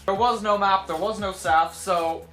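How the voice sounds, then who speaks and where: A young man telling a casual vlog story to camera in a dramatic, animated way, with sarcastic, self-deprecating asides. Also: high voice, unhurried, soft background music. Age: young adult. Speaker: video blogger telling a personal story. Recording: roomy echo.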